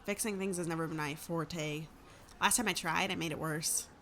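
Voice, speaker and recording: A woman's voice: low voice, steady tone, normal speed, fairly bright tone, slight background noise. A woman playing a plain-spoken, self-deprecating village farmer in an audio drama. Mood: wry, friendly. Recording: clear farmyard ambience with livestock.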